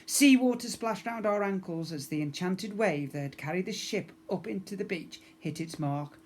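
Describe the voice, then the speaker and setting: A man reads a storybook aloud in the manner of a primary school teacher. His narration is expressive and aimed at young children. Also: high-pitched, moderate pace, slight background noise.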